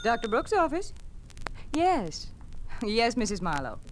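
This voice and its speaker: Efficient receptionist, highish voice, swooping intonation, polite, 1950s radio acting, noisy old recording. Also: female voice, very animated, unhurried. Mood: businesslike.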